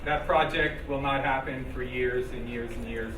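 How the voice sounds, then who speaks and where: A man's voice: medium pitch, fairly even intonation. Man presenting in an echoey hall. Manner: informal, halting with restarts. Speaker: developer presenting a project. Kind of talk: public meeting presentation. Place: meeting hall. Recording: echoey hall, far from mic, noisy.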